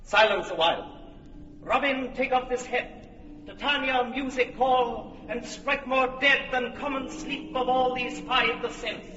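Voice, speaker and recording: A man's voice: very high voice, measured pace, bright, forceful tone, highs cut off, slight background noise, heavily acted. An actor playing a proud, commanding fairy king, speaking in regal, declamatory verse in a classic radio drama. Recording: roomy distant old radio recording.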